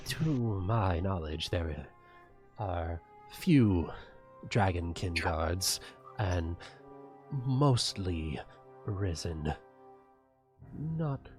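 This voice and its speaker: A low-pitched, very measured male guide from a fantasy tabletop game, acted by the game master. He speaks formally and haltingly, weighing each phrase slowly, with faint music behind him. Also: exaggerated voice.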